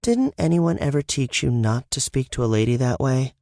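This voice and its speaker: A man's voice: medium pitch, sing-song, moderate pace, a little mellow, no echo, voice pushed up. Man doing young woman racer, teasing, confident, raised voice, dry close sound. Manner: playful, needling. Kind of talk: audiobook narration.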